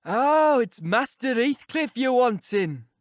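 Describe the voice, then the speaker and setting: A man's voice: very high-pitched, sing-song, slow, no room sound, studio quality, pitched above the performer's own voice, full character voice. A man voicing a grumbling, pious old male servant with a broad Yorkshire dialect and a rustic drawl, scolding as he talks. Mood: gruff. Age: older.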